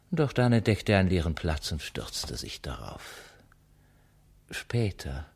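A pompous narrator for literary radio. His voice is deep and husky, and he declaims florid phrases with great self-importance. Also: a little mellow, heavily acted. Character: pompous, florid male narrator with grandiose diction.

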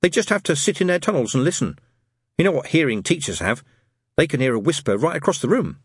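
A man's voice: fairly high pitch, very animated, fast, husky, no room sound, clean studio sound, voice pushed up, full character voice. A man voicing a bossy, imaginative boy from a storybook, lofty, emphatic and insistent. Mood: lofty, confident. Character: bossy, know-it-all boy, imaginative gang leader.

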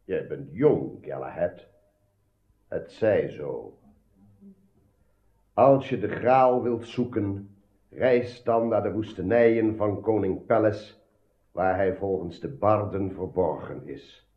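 A grave king of legend in a radio play, regal and declamatory. His low voice moves slowly through a roomy echo. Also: animated, exaggerated voice. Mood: solemn. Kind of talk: radio drama acting. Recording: echoey, dull old radio recording.

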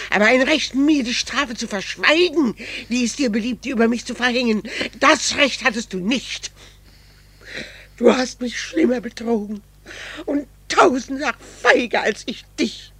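Wounded, earnest wife, dry studio sound. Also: female voice, fairly high pitch, lively intonation, moderate pace, fairly bright tone, husky, no room sound, exaggerated voice. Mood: wounded, indignant. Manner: earnest, formal theatrical diction. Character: wounded, pleading wife in an estranged marriage. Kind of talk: 1960s German radio play acting.

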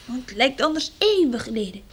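An actress playing an eager, excitable young girl in a Dutch radio play, chirpy and pleading. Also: high-pitched, a little fast, breathy edge, heavily acted. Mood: gleefully proud.

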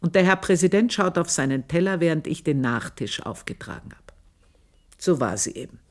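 A woman's voice: sits low, animated, moderate pace. An older actress playing an aging housemaid who tells her story in a radio play, confiding and scornful. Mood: bitter. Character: old female housemaid, bitter and proud.

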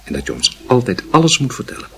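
A man's voice: medium pitch, huge pitch swings, fast, sharp tone, slightly rough, heavily acted. A man playing a stern, moralizing father who admonishes and questions his young son in a radio play. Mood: stern, admonishing.

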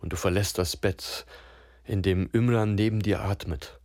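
An actor voicing a grim, detached narrator who speaks tersely in a radio drama. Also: male voice, low voice, steady tone, normal speed, darkish tone, dry recording, clean studio sound, close to an everyday voice. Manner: terse, matter-of-fact.

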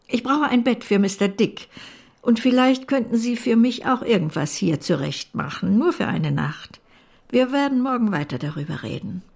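An older actress in a German radio play, playing a brusque, eccentric but fond great-aunt with a blunt, imperious way of talking. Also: female voice, fairly high pitch, gravelly, a little mellow, breathy edge, exaggerated voice.

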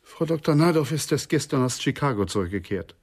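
A man's voice: medium pitch, expressive, fairly quick, a little mellow. An actor playing an earnest psychoanalyst in a German radio crime drama, speaking formally and precisely, with reverence for his profession. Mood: earnest, reverent.

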